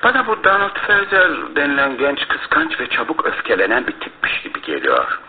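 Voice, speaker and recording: A man's voice: mid-range voice, huge pitch swings, moderate pace, narrow, tinny audio. Through a thin, noisy old radio recording comes a shrewd male detective with a dramatic, courteous questioning manner. His intonation swings widely from line to line as he probes. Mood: probing, courteous. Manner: theatrical, questioning.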